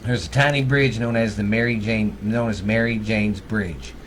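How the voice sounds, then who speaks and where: A man's voice: fairly low pitch, fairly even intonation, normal speed, fairly bright tone, a little hiss or hum, poor audio. A middle-aged man from Texas with a Texan accent, chatting casually as a podcast co-host in a blunt, folksy, profane way. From Texas, United States. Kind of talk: casual ghost-story chat.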